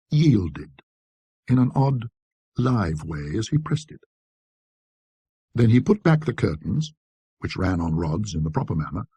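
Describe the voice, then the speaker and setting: Husky, low-voiced man narrating a ghost story. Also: warm tone, no echo. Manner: precise, scholarly, measured storytelling.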